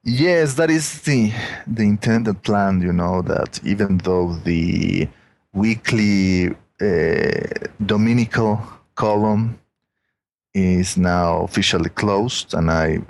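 Mexican-accented guy on a podcast, deep gravelly voice, slow and rambly. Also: darkish tone. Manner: rambling, lots of "you know". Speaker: guest. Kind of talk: casual podcast chat.

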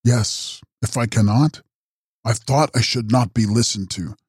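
A man voicing a mysterious, ageless male count who makes grave, measured prophecies in an audiobook. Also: mid-range voice, a little mellow, slightly rough, no room sound, studio quality. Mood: prophetic. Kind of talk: audiobook character reading.

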